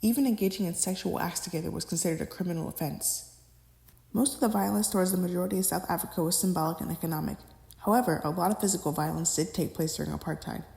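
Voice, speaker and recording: A woman's voice: fairly low pitch, quick pace, vocal fry, mellow. A young adult woman reading a scripted educational narration as the narrator, recorded in an echoey room.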